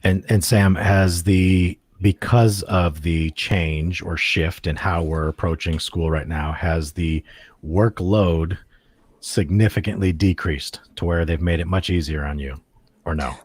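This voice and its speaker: A middle-aged man co-hosting an online panel, with a very deep, husky voice. He is friendly and upbeat with the student guests. The sound is dry and close. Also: steady tone, a little slow, dry recording. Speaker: co-host.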